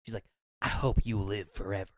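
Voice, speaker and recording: A man's voice: fairly low pitch, steady tone, brisk, no room sound, studio quality. A man in his thirties joking around as a podcast co-host, telling stories and acting out quoted voices. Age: 30s. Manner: jokey, playful storyteller who acts out quoted voices. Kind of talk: casual chat.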